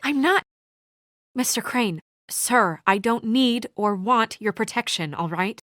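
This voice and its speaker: An actress playing a brisk, guarded young female office assistant in a noir video game drama, speaking in a crisp, businesslike, dry manner. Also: on the high side, lively intonation, fairly bright tone, no room sound. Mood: exasperated, defensive.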